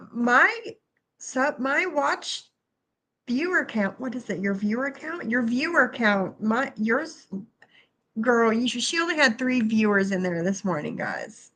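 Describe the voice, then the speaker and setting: A woman's voice: a little high, a bit bright. A middle-aged woman with a stuffy nose, chatting casually on a home livestream and greeting viewers by name. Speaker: livestream host. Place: bedroom at home, then kitchen.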